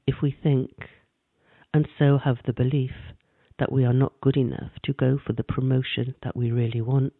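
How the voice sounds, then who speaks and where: A man gently narrating a guided meditation in a calm, measured way. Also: medium pitch, dry recording. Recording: dry, tinny phone-like sound.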